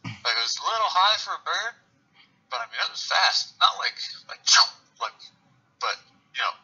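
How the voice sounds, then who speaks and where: A man's voice: high voice, sing-song, normal speed, pushed and bright, slightly rough. A man chatting casually about sports as an interview guest over the phone, in a rambling, conversational way. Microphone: phone call. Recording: muffled radio-like phone line.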